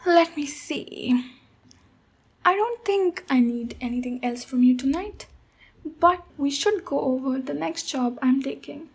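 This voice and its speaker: A woman voicing a tough but protective ogre warrior in a soft-spoken, reassuring ASMR roleplay, with pauses. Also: high voice. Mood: matter-of-fact. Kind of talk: ASMR roleplay, speaking to the listener.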